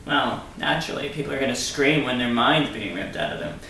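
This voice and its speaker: Bright-voiced sarcastic man in an echoey room. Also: mid-range voice, a little fast, gravelly. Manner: sarcastic, theatrical. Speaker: storyteller and commentator. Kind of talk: dramatic story reading with mocking asides. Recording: very echoey room, distant mic.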